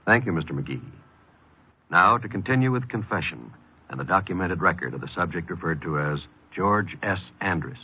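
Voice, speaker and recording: An American man announces in a formal vintage radio style. His voice is deep. The old broadcast is muffled, with faint noise. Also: animated, moderate pace.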